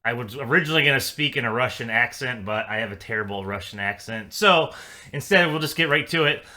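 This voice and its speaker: Bright, upbeat male financial advisor. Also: medium pitch, fairly quick. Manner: upbeat, conversational, with frequent fillers. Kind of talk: casual client update.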